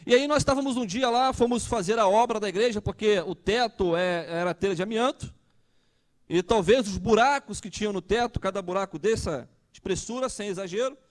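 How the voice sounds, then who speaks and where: A man, preaching a conversational sermon and asking the congregation questions. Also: high voice. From Brazil. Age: 30s. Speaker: pastor.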